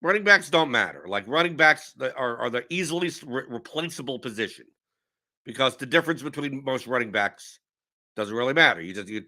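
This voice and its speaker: A young man, hoarse with a sore throat, hosting a casual fantasy sports livestream, rambling and cutting himself off. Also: medium pitch. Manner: animated, rambling, self-interrupting. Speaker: host of a fantasy sports stream.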